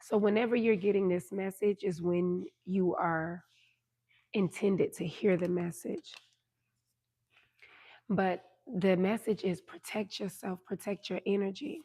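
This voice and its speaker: A woman with a hoarse voice giving a tarot reading on a livestream, speaking soothingly and checking in with "right?" and "okay?" as she goes. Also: mid-range voice, fairly even intonation, a little slow, warm tone. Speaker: tarot reader.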